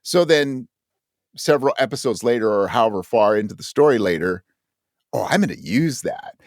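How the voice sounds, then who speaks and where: A man being interviewed about his writing, talking in a thoughtful, halting way and voicing his own thoughts as quotes. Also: mid-range voice, lively intonation, dry recording, studio quality. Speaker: interview guest, audio drama writer.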